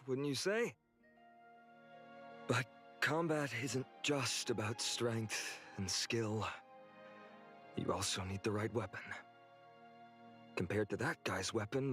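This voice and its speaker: An actor playing an earnest, resolute young swordsman hero in a video game, speaking in a measured, friendly way. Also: fairly low pitch, fairly bright tone. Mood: reflective. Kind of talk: English video game voice acting. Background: background game music.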